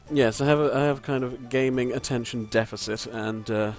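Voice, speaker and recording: A man with a croaky voice, giving rambling, self-deprecating gameplay commentary from a home mic and swearing casually. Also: mid-range voice, moderate pace, darkish tone, faint music behind. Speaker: let's play commentator. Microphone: home desk microphone.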